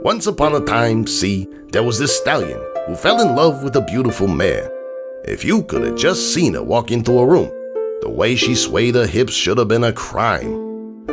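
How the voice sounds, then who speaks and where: Man playing a deep-voiced, gruff, streetwise storytelling stallion. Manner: slangy, grumbling, wistful storyteller. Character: gruff, world-weary streetwise stallion telling foals a bedtime story. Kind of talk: dramatic reading of a fan story with music.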